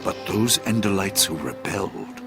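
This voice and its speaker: An actor playing a wise old sage and former schoolmaster, narrating an audio drama in a stately, deliberate, theatrical way over music. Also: male voice, sits low, close to an everyday voice. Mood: grave, foreboding. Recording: loud music bed under the voice.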